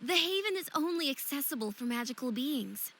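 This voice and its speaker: A woman's voice: sits high, animated, brisk, fairly bright tone. An actress playing a young fairy woman in a visual novel game, proud and sensitive with a playful streak, speaking very expressively. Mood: friendly, eager. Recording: background noise and ambience.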